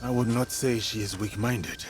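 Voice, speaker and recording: A man's voice: lowish voice, steady tone, normal speed, noisy background, close to an everyday voice. A man playing a stern, honourable warrior companion in a video game, blunt and restrained. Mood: reserved. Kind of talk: video game cutscene acting. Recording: music behind the voice.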